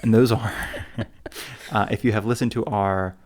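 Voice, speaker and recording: A man's voice: on the low side, fairly even intonation, measured pace, darkish tone, a little hiss or hum. Rambling man co-hosting a podcast. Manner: rambling, self-mocking, full of fillers and restarts. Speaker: podcast co-host. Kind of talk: casual chat.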